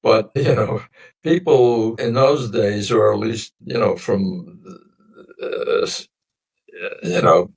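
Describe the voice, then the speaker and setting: A man in his nineties whose first language was a Sicilian dialect, slowly recounting family history as an interview guest in a meandering, reflective way. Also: on the low side, vocal fry. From United States. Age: 90s. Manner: meandering, reflective storytelling with many pauses. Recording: voice distant from the mic.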